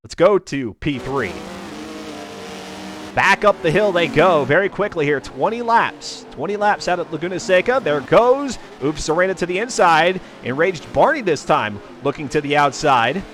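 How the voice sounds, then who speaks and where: A man's voice: fairly high pitch, expressive, moderate pace, fairly bright tone. A man commentating live on a racing stream as its host, in folksy, chatty announcer patter with jokey asides. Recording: racing car noise behind the voice. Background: car engines and race ambience.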